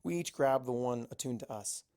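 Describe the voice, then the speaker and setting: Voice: mid-range voice, normal speed, warm tone. An amateur narrator reading a first-person fantasy adventure story aloud. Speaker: amateur fan fiction narrator.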